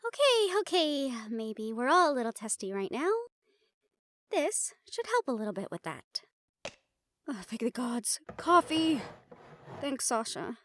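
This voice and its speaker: A woman's voice: sits high, big pitch swings, unhurried, pauses often, fairly bright tone, exaggerated voice. A woman playing a playful, sarcastic and tired young team member in a fantasy audio drama, talking casually. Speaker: voice actor in an audio roleplay. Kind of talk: fantasy audio drama roleplay, conversational acting.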